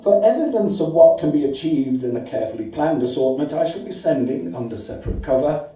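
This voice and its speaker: A man's voice: fairly high pitch, lively intonation, fairly quick, mellow, close to an everyday voice. A man voicing a pedantic, pompous, aggrieved customer reading out a formal, wordy letter of complaint as a live comic story. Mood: pompous, earnest. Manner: formal, wordy, precise, mock-serious. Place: indoor arts venue reading, before an audience. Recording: roomy, distant live event recording.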